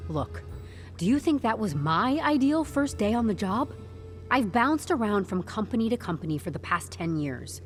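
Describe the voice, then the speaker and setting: An actress playing a composed, stubborn HR newcomer in an audio drama, speaking with deadpan corporate politeness and wry candour. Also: female voice, mid-range voice, normal speed, faint music behind, natural voice. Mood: frank, weary. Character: composed, stubborn young HR newcomer turning interrogation into interview.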